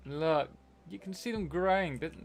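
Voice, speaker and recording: A man's voice: fairly high pitch, normal speed, creaky. Chatty young man streaming a game. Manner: chatty, rambling, reads and answers chat messages. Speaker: live-streaming game host. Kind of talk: casual live-stream chat while playing.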